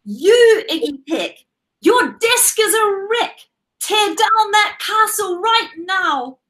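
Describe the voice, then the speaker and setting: Lady doing a strict storybook teacher, super high voice, slow and dramatic, scolding, easily rattled. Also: expressive, fairly bright tone, heavily acted. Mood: scolding, exasperated. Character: stern, severe, easily frightened female second-grade teacher. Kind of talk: children's picture book character reading.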